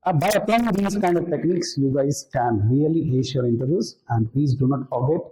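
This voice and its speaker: A man's voice: mid-range voice, brisk, dark tone. A man with an Indian accent giving an instructional talk as an interview coach, didactic and repeating key points for emphasis. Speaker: interview skills coach, presenter. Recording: roomy, echoing room.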